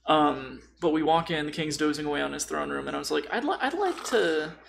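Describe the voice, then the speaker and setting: A man's voice: fairly high pitch, animated, brisk, vocal fry, fairly bright tone, slight background noise. A man chatting casually about tabletop role-playing in an informal, rambling way full of tangents.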